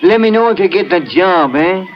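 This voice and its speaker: An actor playing a blunt, scoffing immigrant janitor in a 1950s radio drama. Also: male voice, sits high, animated, normal speed, background noise. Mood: scornful amusement. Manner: blunt, scoffing, singsong questions. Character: scoffing immigrant tenement janitor, gruff and amused. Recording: muffled vintage 1950s radio recording.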